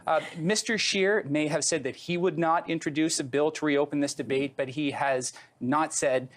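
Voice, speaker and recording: A man's voice: a little high, fairly even intonation, normal speed, a bit bright. A man politician from Canada in a heated panel debate, pointed and persistent. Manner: pointed, persistent, talks over others.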